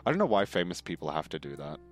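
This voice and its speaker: Young male co-host, very low voice, dry deadpan humor. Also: quick pace, faint music behind. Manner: dry, deadpan absurd jokes. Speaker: podcast co-host. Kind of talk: casual banter over a game.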